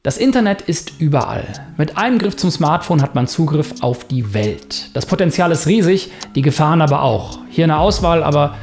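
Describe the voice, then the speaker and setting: A man in his thirties, a YouTuber and screenwriter, narrating his own self-experiment in a lively, wry documentary style. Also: fairly high pitch, normal speed, faint music behind.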